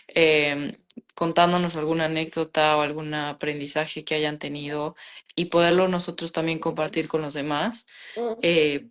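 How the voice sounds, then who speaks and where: A woman co-hosting a casual live-stream chat, talking in a conversational way with many fillers and tag questions, over a video call. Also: sits low. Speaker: co-host of a live talk show.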